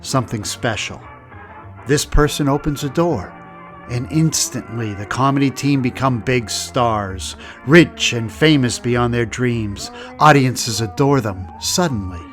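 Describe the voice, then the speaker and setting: A man hosting a radio-history program in scripted documentary narration with measured, dramatic pacing. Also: medium pitch. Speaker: podcast host. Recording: narration over music.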